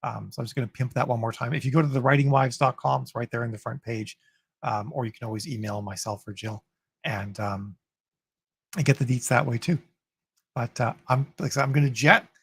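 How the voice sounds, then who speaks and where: Gravelly, husky-voiced man chatting casually. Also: medium pitch, fairly quick, dry recording. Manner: chatty, upbeat, full of fillers and restarts. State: voice getting raspy from long talking. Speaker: host of a writers' livestream. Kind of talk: casual livestream chat answering viewer comments.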